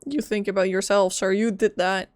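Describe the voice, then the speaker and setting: A young woman giving casual gameplay commentary as a streamer, with a blunt, flippant, deadpan manner. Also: mid-range voice, normal speed. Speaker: game streamer. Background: faint game music.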